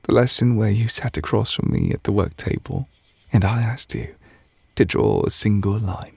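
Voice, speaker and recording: A man voicing a tender, reserved witch teacher in a romantic ASMR roleplay, speaking softly and hesitantly, close to the mic with crickets behind. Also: fairly low pitch, sing-song, creaky, breathy edge, dry recording, exaggerated voice. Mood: wistful, tender. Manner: soft, intimate, hesitant, gently measured. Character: tender, reserved male witch teacher confessing love to partner. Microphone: close microphone.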